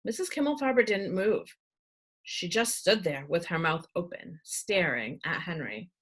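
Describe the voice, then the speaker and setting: A woman reading a children's book aloud as expressive storytelling narration. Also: fairly low pitch, a little slow, husky.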